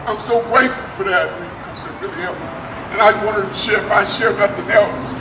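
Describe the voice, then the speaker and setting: High-voiced man speaking earnestly, echoey and distant. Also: a little fast. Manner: earnest, emphatic, repeats words. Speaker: guest speaker giving a tribute. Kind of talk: personal spoken tribute to an audience. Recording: echoey distant recording with clear background noise.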